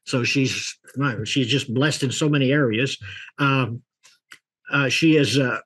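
Older man hosting, slightly husky voice. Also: mid-range voice, takes it slowly, a bit bright. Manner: rambling, folksy, with many restarts and fillers. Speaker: host. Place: office with a leather chair.

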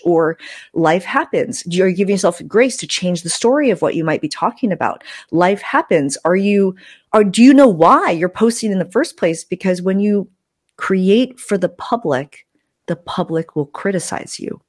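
A woman's voice: fairly low pitch, darkish tone, husky, no echo. A woman in her thirties hosting a casual podcast, talking frankly and emphatically into a close mic. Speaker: podcast host. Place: small room at home, video call. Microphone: close desktop mic with furry windscreen.

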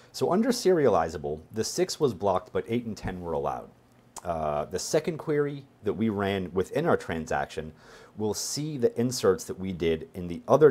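A man's voice: sits low, a little mellow. A man in his forties giving a technical screen-share tutorial as an instructor, explaining conversationally and thinking aloud as he demonstrates.

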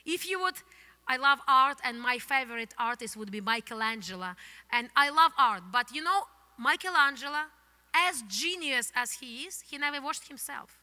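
Bright Ukrainian-accented woman pastor preaching. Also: high voice, moderate pace. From Soviet Union. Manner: animated, gesturing, non-native English phrasing. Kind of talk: sermon. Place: church stage, indoors, large hall. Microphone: handheld microphone. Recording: long hall reverb from the church.

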